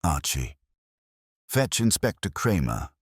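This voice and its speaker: Guy doing a bossy genius detective in an audiobook, low gravel-rough voice, big pitch swings, formal and cutting. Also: big pitch swings, slow pace, no room sound, clean studio sound, exaggerated voice. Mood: commanding. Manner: formal, clipped, commanding pronouncements. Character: imperious, shrewd male detective, coldly judging.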